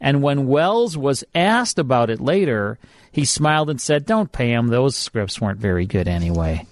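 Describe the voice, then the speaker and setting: A man's voice: medium pitch, big pitch swings, moderate pace, dry recording, clean studio sound. A man hosting a late-night radio show, chatting casually and introducing a classic program. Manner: friendly, chatty, restarts often. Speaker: radio host. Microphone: studio microphone.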